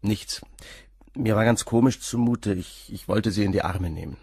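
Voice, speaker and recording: A man's voice: on the low side, moderate pace, darkish tone, breathy edge, no room sound, clean studio sound. An actor playing a nervous, agitated young man under questioning in a radio drama, answering hesitantly. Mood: anxious. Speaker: suspect being questioned.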